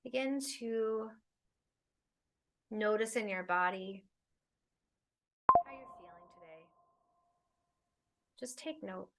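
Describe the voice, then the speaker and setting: A woman leading a guided meditation, speaking soothingly in unhurried phrases with long pauses. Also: medium pitch, gravelly. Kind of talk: guided meditation, spoken slowly to listeners.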